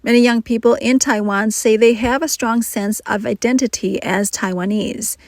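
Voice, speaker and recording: A woman narrating a news report in a measured, scripted reading. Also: fairly high pitch, fairly even intonation, darkish tone, slight background noise.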